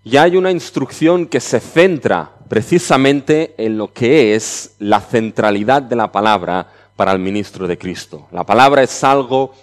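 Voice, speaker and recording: A man's voice: medium pitch, unhurried, hardly any pauses. A middle-aged man from Barcelona with a mixed Catalan and Chilean Spanish accent, preaching a conference sermon in a conversational way and often ending phrases with a checking "¿ya?". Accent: mixed Catalan-Spanish with Chilean touches.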